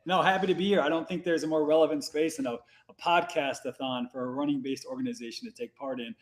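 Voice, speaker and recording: A man in his forties, a podcast guest telling the story of his nonprofit, energetic and playful. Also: a little high, rough recording. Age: 40s. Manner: energetic, playful, quick-talking. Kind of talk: casual podcast conversation.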